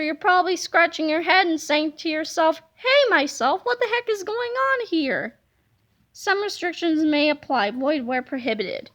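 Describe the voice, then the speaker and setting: A woman reading a children's comic book aloud in a playful, animated way, slipping into silly voices. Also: very high voice. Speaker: reader.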